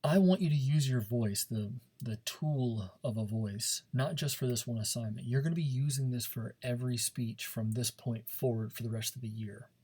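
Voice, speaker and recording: A man with a slightly rough, husky voice at an average pitch speaks as a teacher to his class. He is informal and demonstrative, leaning on key words and repeating them for emphasis. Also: moderate pace, hardly any pauses, mellow. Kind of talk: informal recorded lesson, talking directly to students.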